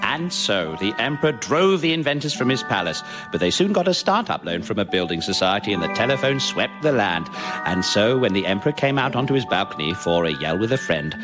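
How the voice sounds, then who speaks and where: A British man playing a dry, measured narrator of a comic fable, reading scripted narration. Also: on the low side, very animated, over music. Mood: mock-solemn. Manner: measured, mock-solemn.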